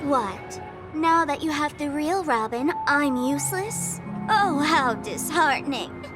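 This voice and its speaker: Taunting female game trickster, high voice, unhurried drawl, theatrical, playful, prominent music behind. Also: expressive. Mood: mock hurt. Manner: mocking, drawling, playful, dramatic. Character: taunting, theatrical young woman trickster, mischievous troublemaker. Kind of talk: video game voice acting.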